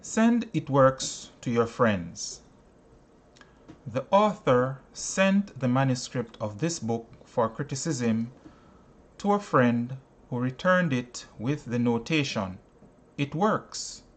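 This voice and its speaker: Slow, deliberate man reading aloud. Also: mid-range voice, animated. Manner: deliberate, stretched phrasing with pauses. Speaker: audiobook reader. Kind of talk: scripted narration, reading a book aloud.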